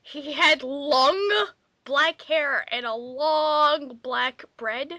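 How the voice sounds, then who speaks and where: High, bright woman reading very slowly. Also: fairly even intonation, no room sound. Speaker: player in a dramatic reading game. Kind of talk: dramatic reading of fan fiction.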